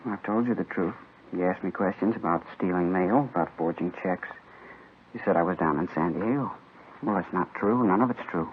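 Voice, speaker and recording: A man's voice: low-pitched, moderate pace, natural voice. An actor playing an evasive young man of twenty-five being questioned as a suspect in an old radio crime drama, politely defensive. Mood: defensive, subdued. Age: young adult. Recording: muffled vintage radio recording with hiss.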